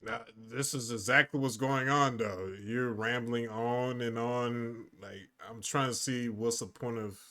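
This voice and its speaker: A man's voice: medium pitch, steady tone, measured pace, hardly any pauses, sharp tone. A young man giving slangy, casual commentary as a reaction video host, exasperated and incredulous, often repeating himself.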